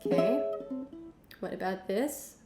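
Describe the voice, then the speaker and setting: A young woman teaching a casual music lesson at home, hoarse from illness, chatty with many ums and the odd cough. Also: mid-range voice, lively intonation, takes it slowly, reverberant. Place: home room with piano and guitars.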